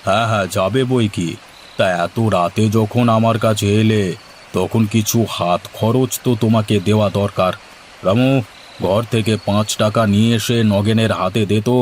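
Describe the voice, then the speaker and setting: A man's voice: on the low side, fairly even intonation, moderate pace, slight background noise, lightly acted. A man voicing a self-important village headman in a cartoon, a patron who speaks in a commanding, theatrical way. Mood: pleased, patronising. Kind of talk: Bengali cartoon dubbing.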